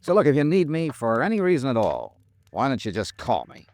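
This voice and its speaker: An actor playing a wry, caring American investigator hero in old-time radio detective style, casual and colloquial. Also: male voice, medium pitch, wildly swooping pitch, brisk, darkish tone, slight background noise. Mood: kindly concerned. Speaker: lead actor and narrator. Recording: old radio drama with music.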